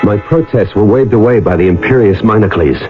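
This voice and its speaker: An actor plays an earnest male diver with a stubborn streak. His low voice has the dramatic delivery of old radio storytelling. The sound is dull, with loud music underneath. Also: fairly quick, natural voice. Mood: urgent recounting. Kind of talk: dramatic first-person narration and radio acting.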